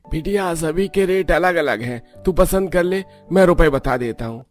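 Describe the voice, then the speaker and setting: A man's voice: fairly high pitch, expressive, moderate pace, soft background music, lightly acted. A man voicing a polite, obliging shopkeeper in a cartoon story, courteous and accommodating. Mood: helpful. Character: polite, obliging male shopkeeper serving customers.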